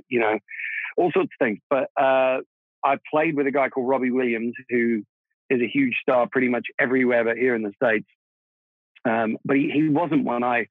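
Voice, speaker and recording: A man's voice: mid-range voice, dry recording. Man on a tinny line. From Czech Republic. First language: Czech. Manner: reflective, self-deprecating, laughs easily. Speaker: guest, film composer. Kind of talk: casual interview conversation. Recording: dry, phone-like narrow sound.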